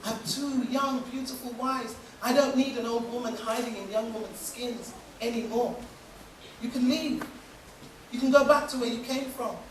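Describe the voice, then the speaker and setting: A storyteller voicing an arrogant, drunken rich village man who blusters loudly and indignantly. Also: medium pitch, steady tone, moderate pace, background noise, natural voice. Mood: scornful. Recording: echoey room, distant mic.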